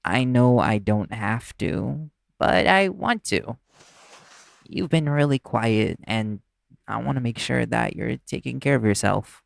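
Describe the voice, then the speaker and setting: A man acting as a caring, gently teasing boyfriend in an intimate audio roleplay, attentive and softly insistent. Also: mid-range voice, animated, slow, creaky, dry recording, clean studio sound, heavily acted. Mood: tender concern.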